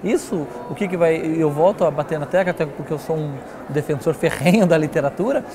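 A man's voice: fairly high pitch, fairly quick, crowd noise. A man in his forties with a Brazilian accent, answering interview questions in an emphatic, conversational way with repetition and frequent tag questions. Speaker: interview guest, literary newspaper editor.

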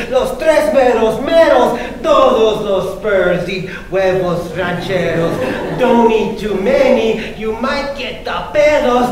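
A middle-aged man performing rhymed spoken-word poetry live, playfully declaiming and mixing in Spanish words. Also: very high voice. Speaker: performance poet. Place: large meeting hall with audience. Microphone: stand microphone on stage. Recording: echoey hall, far mic, audience noise.

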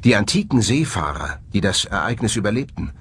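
A man's voice: fairly low pitch, very animated, brisk, fairly bright tone, a little hiss or hum. A man presenting a documentary in scripted narration.

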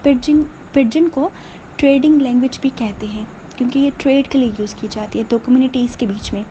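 A woman teaching a linguistics lesson over slides, explaining in Hindustani and reading English definitions slowly. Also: sits high, mellow, highs cut off, slight background noise.

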